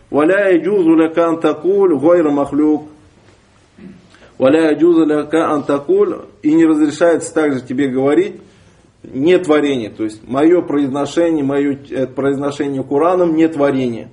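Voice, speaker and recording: A man with a somewhat high voice teaches from a classical text. He reads each line aloud, then explains it slowly and deliberately, pausing and restarting as he works through the meaning. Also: darkish tone. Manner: reads Arabic lines, then explains them in Russian with pauses and restarts. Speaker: religious teacher.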